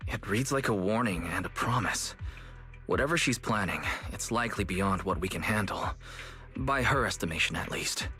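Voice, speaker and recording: Bright, animated, serious game investigator. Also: low voice, moderate pace, faint music behind. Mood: thoughtful, wary. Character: serious, determined investigator known as Proxy. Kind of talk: video game voice acting.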